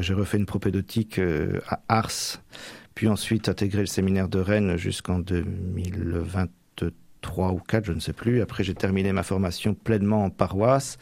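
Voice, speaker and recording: A young man with a fairly low voice talks through his path in a radio interview. He hesitates often, repeating words and filling pauses as he searches for what to say. The recording is close and dry, with no room sound. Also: creaky, darkish tone, dry recording. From Manche, Normandy. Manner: hesitant, many fillers and restarts. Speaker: future priest, interviewee.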